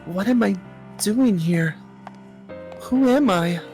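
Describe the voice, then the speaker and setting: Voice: mid-range voice, lively intonation, slow, darkish tone, soft background music, voice pushed up. A performer voicing a frightened, lost young amnesiac heroine in a visual novel, pleading and exclaiming. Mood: frightened, lonely. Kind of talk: dramatic visual novel character reading.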